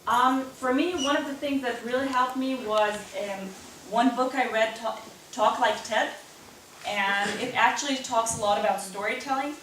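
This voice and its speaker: A woman's voice: a little high, fairly even intonation, moderate pace, fairly bright tone. Woman speaking in a very echoey hall. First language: not German; English not stated as first. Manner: earnest, personal, answers at length with restarts. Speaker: speaker at a lightning talk event. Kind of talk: live talk with audience Q&A. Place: event room with audience. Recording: very echoey room, distant mic, faint noise.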